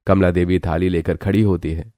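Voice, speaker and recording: A man's voice: sits low, little pitch movement, normal speed, warm tone. A man narrating a scripted cartoon story as its storyteller.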